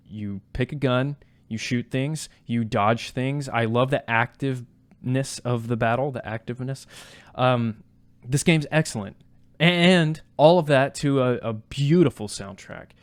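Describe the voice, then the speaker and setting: A man's voice: mid-range voice, measured pace. A man co-hosting a podcast, chatting informally about a game and peppering his opinions with "like". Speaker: podcast co-host. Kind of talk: casual video game review discussion.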